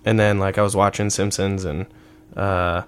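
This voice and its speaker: A man's voice: sits low, little pitch movement, moderate pace, clear, resonant tone. A man in his mid twenties hosting a casual comedy chat, talking in an offhand, slangy, profane way. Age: mid 20s. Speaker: podcast host.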